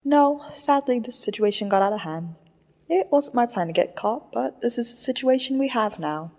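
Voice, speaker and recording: A woman voicing a calm, aloof haunted puppet in a fan audio drama, speaking in a measured, matter-of-fact way with a ghostly reverb. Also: fairly high pitch. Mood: calm, detached. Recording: long echoing reverb on voice.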